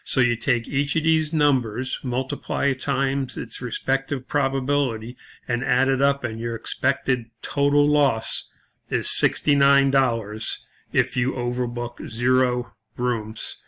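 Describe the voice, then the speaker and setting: A man with a bright, forward voice at a middle pitch gives an informal video lesson. He explains things step by step like an instructor, often pausing and hesitating as he goes. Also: fairly even intonation. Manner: explanatory, halting with frequent hesitations.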